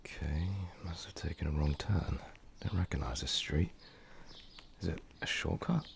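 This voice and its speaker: A man's voice: very deep voice, moderate pace, gravelly, a little hiss or hum, heavily acted. A man playing a curious, self-questioning time traveller in an audio drama, musing in a wry, hesitant way. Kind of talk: audio drama monologue and dialogue. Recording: music under the voice.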